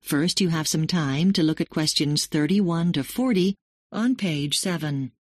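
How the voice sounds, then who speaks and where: A woman reads out instructions for a listening test slowly and formally. Her voice sits somewhat low for a woman. The recording is dry and close, with no room sound. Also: no room sound. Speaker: exam announcer.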